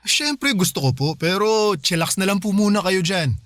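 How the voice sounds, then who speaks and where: A man's voice: sits high, lively intonation, normal speed, fairly bright tone, dry recording. An actor with a Filipino accent playing a hardworking, affectionate son in his thirties in a radio drama, speaking respectfully and casually. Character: hardworking, dutiful, affectionate 35-year-old son.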